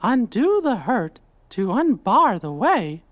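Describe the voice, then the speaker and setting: A man voicing a small, kindly cartoon mouse king in an animated video game, with an American accent and an earnest, squeaky falsetto. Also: slow pace, heavily acted. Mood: concerned, resolute. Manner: earnest, gentle, encouraging.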